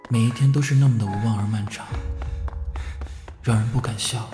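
A man's voice: on the low side, steady tone, a little fast, natural voice. A young man acting as a weary, guarded male student in a Chinese audio drama. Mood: melancholy. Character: weary, guarded male student narrating his hard youth. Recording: roomy sound under loud music. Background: music.

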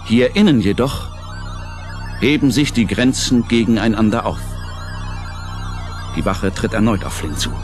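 Slow, dramatic male narrator. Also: lowish voice, darkish tone, soft background music. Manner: theatrical, deliberate. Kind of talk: dramatic scripted radio play narration.

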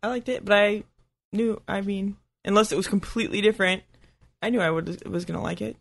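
A man's voice: high voice, steady tone, a little fast, gravelly, fairly bright tone. A man co-hosting a podcast in casual, conversational chat. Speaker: podcast co-host.

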